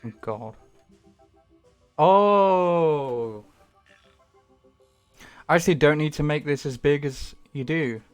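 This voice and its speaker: A man's voice: fairly high pitch, slow, pauses often, clear, resonant tone, soft background music. A young man chatting casually while streaming a game at home, rambling and self-deprecating with the odd swear. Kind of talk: casual gaming stream chat.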